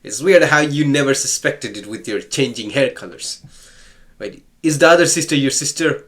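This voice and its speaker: A man commenting casually on a game, joking and reacting with quick asides and stammers. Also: mid-range voice, animated, fairly bright tone. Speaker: let's play host.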